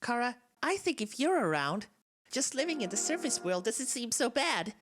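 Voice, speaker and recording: A woman's voice: a little high, big pitch swings, moderate pace, a little hiss or hum. A woman voicing a soulless, bored talking flower in a dramatic game fan dub, confiding and theatrical, swinging between wistful and menacing. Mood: coaxing. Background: game soundtrack music.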